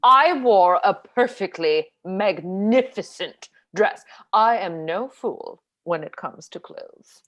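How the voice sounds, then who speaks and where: An actress playing a vain, lively aging stage star and mother, theatrical and self-satisfied, in a play reading over a video call. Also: mid-range voice, slow pace, hardly any pauses. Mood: boastful, delighted. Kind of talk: cold read of a classic stage play.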